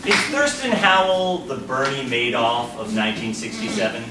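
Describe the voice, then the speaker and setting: A man's voice: medium pitch, sing-song, normal speed, crowd noise. A man hosting a staged radio theatre, introducing and questioning in a mock-serious style. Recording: very echoey room, distant mic, clear noise.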